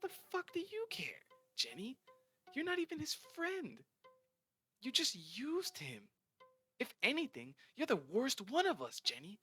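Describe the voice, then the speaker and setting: A man's voice: very high voice, very animated, normal speed, sharp tone, soft background music, voice pushed up, heavily acted. A man voicing a spiteful, sneering teenage girl who leads a cruel group. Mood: vicious.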